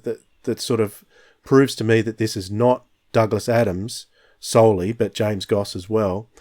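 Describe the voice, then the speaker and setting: A man's voice: lowish voice, a little mellow. A man co-hosting a podcast, chatting casually and rambling with many restarts. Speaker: podcast co-host. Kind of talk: casual chat.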